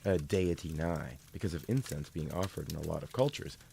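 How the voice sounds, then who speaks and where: Low, gravelly explaining dad played by a man. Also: animated, a little fast, warm tone, a little hiss or hum, full character voice. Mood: explanatory. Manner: explanatory, casual. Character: friendly, knowledgeable father in a family audio drama. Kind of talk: scripted conversational explanation.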